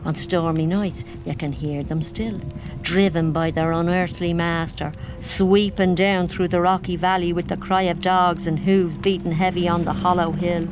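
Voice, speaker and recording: An actress playing a grim manor servant in a gothic audio drama, with a grave, ominous storytelling manner. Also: female voice, on the low side, steady tone, normal speed, background noise, close to an everyday voice. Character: grim female manor servant telling dark local legends.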